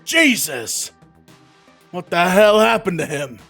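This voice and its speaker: A man acts out a gruff, sardonic detective in a comic book audio drama. His voice sits fairly high, and he talks in blunt streetwise wisecracks over faint music. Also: sing-song, slow pace, a bit bright, full character voice. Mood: weary, startled. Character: gruff, wisecracking, world-weary male police detective. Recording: music behind the voice.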